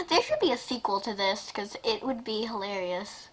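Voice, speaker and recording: A woman casually reading fan fiction aloud and reacting to it, excitable and informal, often breaking into giggles. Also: a little high, a little slow, a little hiss or hum. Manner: giggly, often breaking into laughter while talking. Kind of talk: casual reaction reading of a fanfic, mixing story and commentary.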